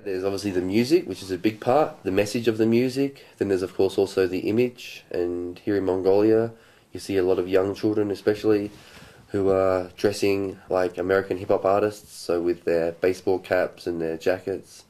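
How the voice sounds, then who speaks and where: A twenty-seven-year-old Australian man explaining his documentary work in a relaxed, thoughtful way, pausing with ums and restarting sentences. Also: deep voice. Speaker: documentary filmmaker. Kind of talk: casual explanatory talk to camera.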